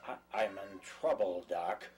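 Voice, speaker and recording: A man playing a timid country farmer on the run, humbly pleading in a halting, stammering way in a drama. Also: deep voice, darkish tone, soft background music. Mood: nervous, pleading. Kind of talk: dramatic dialogue in an audio play or table reading.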